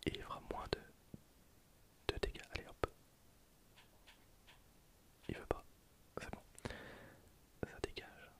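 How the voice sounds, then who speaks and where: A person whispering casual, slangy ASMR commentary over a video game, in breathy, hesitant phrases that trail off. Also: slow, long pauses. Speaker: host of an ASMR gameplay channel.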